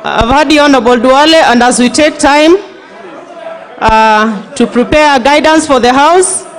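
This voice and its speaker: A woman's voice: sits high, measured pace, a faint crowd. A woman presiding over a parliamentary sitting, firm and formal, repeating calls to order. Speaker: presiding chair of the assembly. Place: large parliament chamber. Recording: long hall reverb with murmuring members.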